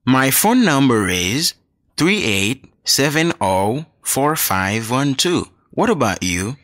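A man reads a language textbook aloud, his pitch leaping up and down in a sing-song way. He speaks slowly and clearly for learners. The recording is close and dry, with no room sound. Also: sing-song, a bit bright, no room sound. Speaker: textbook audio reader. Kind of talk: scripted dialogue reading for learners.

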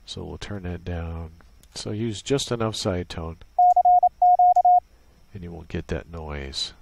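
A man's voice: low voice, slow pace, gravelly, very noisy. A man presenting an unscripted tutorial, explaining a setup casually, step by step. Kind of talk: casual unscripted software walkthrough.

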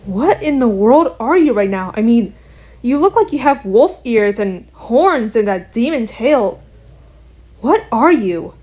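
A woman's voice: fairly high pitch, sing-song, measured pace, darkish tone. A woman voicing an earnest, wary young bat heroine in an amateur cartoon, talking in a casual, hesitant way. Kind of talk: amateur animated voice acting.

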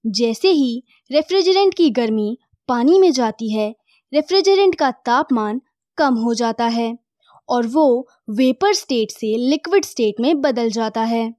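A woman giving a scripted voice-over for an animated technical lesson, in a measured explaining tone. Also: fairly high pitch, dry recording, studio quality.

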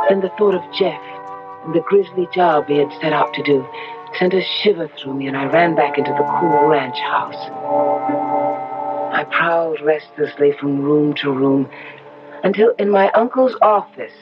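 A woman's voice: low voice, natural voice. A woman plays a headstrong young wife on a ranch in an old radio play. She speaks and narrates with emotive, careful diction, uneasy underneath. The broadcast sound is dull, with prominent music behind her. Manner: dramatic, emotive, carefully enunciated. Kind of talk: 1970s radio drama acting and first-person narration.

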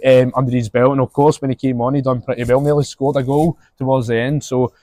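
Young Scottish man, podcast co-host, rapid eager questions, lapel mic outdoors, dry. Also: medium pitch, flat delivery, warm tone, dry recording. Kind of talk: casual football chat. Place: outdoors in an empty stadium stand.

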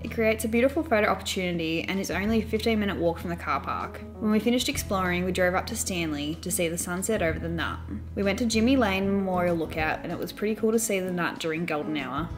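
A young Australian woman reading a scripted travel voice-over. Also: mid-range voice. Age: young adult. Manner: even, read narration. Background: music.

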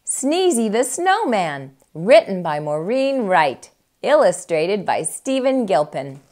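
A middle-aged woman hosting storytime, reading a children's picture book aloud with expressive narration. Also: mid-range voice, takes it slowly. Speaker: storytime host.

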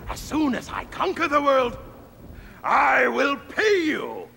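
A man playing a scheming, pompous mad scientist villain in a video game, gravelly and theatrical. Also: extremely high pitch, huge pitch swings, takes it slowly, sharp tone, full character voice. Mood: pleading bluster. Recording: echoey game scene, faint noise.

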